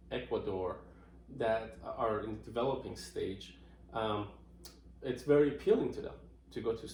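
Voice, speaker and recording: A middle-aged man from Iran, with a fairly low voice that has a gravelly edge. He answers interview questions thoughtfully, often pausing on fillers and restarting his sentences. The recording picks up a noticeably roomy echo. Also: a little mellow, a little hiss or hum. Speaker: interviewee, marketing professional. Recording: roomy echoey room.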